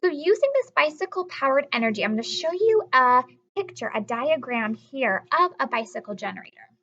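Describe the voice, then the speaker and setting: A woman's voice: high-pitched, sing-song, brisk, pushed and bright, highs cut off. A woman teacher reading aloud a lesson for children, explaining simply and encouragingly with questions to her young listeners. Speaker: elementary school teacher.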